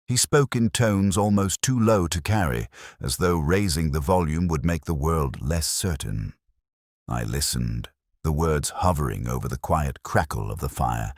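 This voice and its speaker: A man playing a brooding, observant police inspector, narrating an audio mystery drama in measured, literary, contemplative phrases. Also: sits low, no room sound, clean studio sound, full character voice. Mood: grave, reflective.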